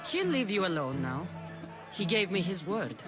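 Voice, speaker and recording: A woman's voice: lowish voice, sing-song, normal speed, music in the background. A woman playing a tough, protective young mercenary in a video game, dry and defiant. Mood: stern, reassuring.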